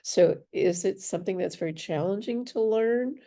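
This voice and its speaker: An American woman hosting an interview, asking curious questions in a relaxed, casual way. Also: mid-range voice, moderate pace. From United States. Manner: curious, asks leading questions. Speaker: podcast host.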